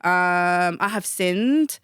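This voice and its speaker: Chatty woman, smooth voice. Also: lowish voice, extremely slow, no room sound, studio quality. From Manchester, England. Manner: animated, chatty, hesitant with fillers. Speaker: guest. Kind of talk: casual podcast interview.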